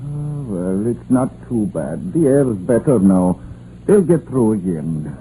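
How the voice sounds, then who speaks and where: Older Welsh miner, vintage radio play. Solemn, principled, halting; dark voice, swinging intonation, hissy recording. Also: male voice, lowish voice, sing-song, rough recording. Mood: weary, resigned. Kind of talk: 1950s radio drama acting.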